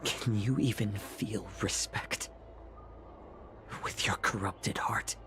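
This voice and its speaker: Slow, solemn, grieving healer. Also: male voice, fairly low pitch, steady tone, a bit bright, faint music behind. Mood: quiet defiance. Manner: solemn, measured, breathy at phrase ends. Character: grieving, resolute fox-man healer, quietly bitter. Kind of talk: dramatic video game monologue.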